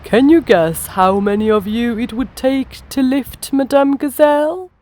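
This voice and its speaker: A woman's voice: sits high, measured pace, darkish tone, pitched above the performer's own voice. A woman voicing a cheerful father pig who teaches children in a storybook, instructive and encouraging. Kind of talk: children's storybook read-aloud.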